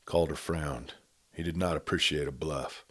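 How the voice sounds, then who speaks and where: A man reading a western tale as an audiobook narrator, in an expressive, measured, dramatic storytelling style. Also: extremely low pitch.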